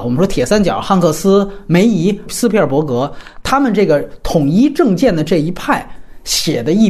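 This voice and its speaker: A man's voice: sits high, a little mellow, breathy edge. A man hosting a film discussion, giving his opinions analytically and emphatically, explaining point by point. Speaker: film podcast host.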